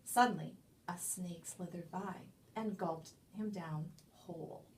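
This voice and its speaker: A woman reading a children's picture book aloud as a storyteller, with expressive, emphatic delivery and dramatic pauses. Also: fairly low pitch, slight background noise. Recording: distant microphone.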